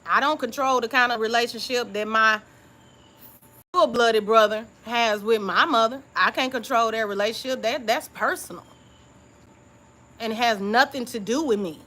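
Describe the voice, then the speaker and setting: Bright-voiced woman chatting reflectively. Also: fairly high pitch, moderate pace. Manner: reflective, confiding, keeps checking in with "okay?"; relaxed informal speech. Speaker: YouTube creator. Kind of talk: casual live-stream chat.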